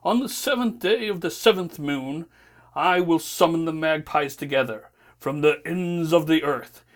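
Woman playing a low, booming, stern father god. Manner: slow, solemn, booming delivery. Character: stern, angry old god of light, a commanding father.

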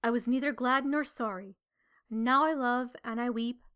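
A woman's voice: on the high side, fairly even intonation, moderate pace, a bit bright, clear, resonant tone, lightly acted. A woman voicing a lovelorn young weaving maiden of heaven in a storybook, plaintive and formal. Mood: grieving.

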